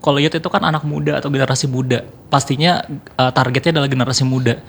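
A man talks at a quick clip in a mid-range voice. He answers as an interview guest, laying out his points in an orderly, conversational way. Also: medium pitch, fairly even intonation, creaky, fairly bright tone, breathy edge. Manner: explanatory, orderly, enumerating points. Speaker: youth organization board member, radio guest.

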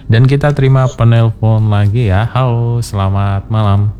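A man's voice: fairly low pitch, steady tone, moderate pace, dull audio, a little hiss or hum. A man hosting a late-night call-in radio show in Indonesia, chatting casually with callers, humming along and chuckling often. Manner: chatty, lots of soft hums and chuckles. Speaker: radio host. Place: radio studio.

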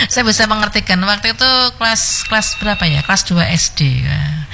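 A woman's voice: medium pitch, expressive, normal speed, gravelly, background noise. A middle-aged woman, hosting a casual, chatty radio call-in show. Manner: chatty, laughs often. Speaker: radio host.

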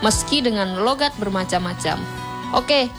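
A woman's voice: mid-range voice, animated, unhurried, music in the background, close to an everyday voice. An actress playing a caring, principled female lecturer with a Javanese accent who speaks firmly. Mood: encouraging. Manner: firm, lecturing.

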